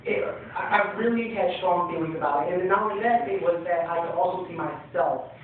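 A young man speaking on a panel with a handheld mic, telling his own story in an earnest, halting way with many fillers. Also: high voice, a little hiss or hum. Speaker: youth center panelist. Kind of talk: personal testimony on a panel. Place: screening room panel, large hall. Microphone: handheld mic, recorded from far in the audience. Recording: echoey hall, far off, tinny.

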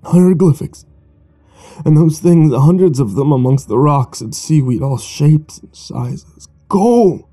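A man's voice: medium pitch, unhurried, pauses often, warm tone, husky. A man voicing a wasted, dying fisherman who speaks in weak, gasping, broken phrases. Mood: haunted, gasping. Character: dying male fisherman, gaunt, gasping and desperate.

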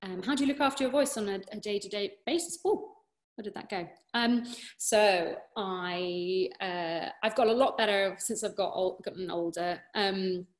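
A woman's voice: medium pitch, moderate pace. A young British woman, an opera singer, answering questions in a casual online Q&A from her living room, encouraging and full of fillers. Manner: chatty, encouraging, many fillers and restarts. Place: living room at home, indoors. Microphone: laptop webcam on a video call.

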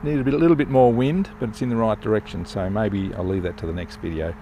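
An older man flying a model plane in an open field outdoors, giving relaxed, enthusiastic commentary as he flies. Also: on the low side, fast, slight background noise. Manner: relaxed, enthusiastic, thinking aloud while flying. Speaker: RC pilot reviewing a plane. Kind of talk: casual live flight commentary.

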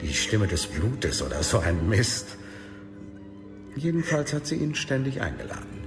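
An actor playing a cold, sadistic middle-aged professor in a radio crime drama, menacing, sardonic and deliberate. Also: male voice, on the low side, big pitch swings. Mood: bitter, sardonic. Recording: long reverb, music underneath.

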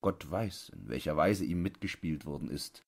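Low-pitched man reading a literary story. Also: expressive, moderate pace, vocal fry, darkish tone. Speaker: audiobook narrator. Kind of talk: scripted literary narration.

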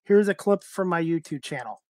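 A man's voice: a little high, expressive, normal speed, a little mellow, dry recording, clean studio sound. A middle-aged man hosting a sports talk livestream, chatting casually to viewers on a headset mic. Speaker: sports talk show host and producer.

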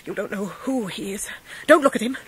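An actress playing a brisk, commanding, protective English great-aunt in a radio drama. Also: female voice, mid-range voice, lively intonation, slight background noise, lightly acted. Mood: agitated, commanding.

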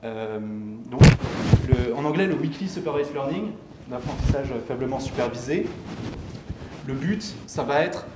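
A young man giving a technical presentation at a meetup in a halting, explanatory way, posing questions and answering them himself. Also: mid-range voice, lively intonation. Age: young adult. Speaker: tech meetup speaker presenting his company's software. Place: indoor lecture room, at a lectern. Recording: echoey room with clear background noise.

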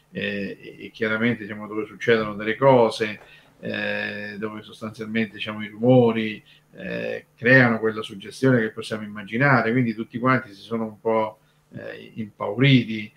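A man hosting a casual talk on radio history, speaking in a halting, digressive way and often rephrasing himself. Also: fairly low pitch, creaky, dull audio. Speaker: radio show host.

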